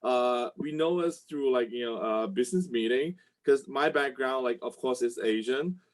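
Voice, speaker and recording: Hesitant man from Malaysia, medium pitch. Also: moderate pace. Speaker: IT business volunteer. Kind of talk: casual self-introduction. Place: online group video call.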